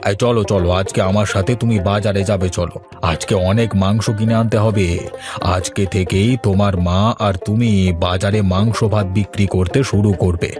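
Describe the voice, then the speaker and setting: Kindly older uncle for a cartoon moral tale, male actor: low voice, firm and reassuring, music behind. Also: fairly even intonation, normal speed, close to an everyday voice. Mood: reassuring, urging. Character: kindly, decisive older uncle, a grateful guest. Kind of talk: Bengali animated moral story acting.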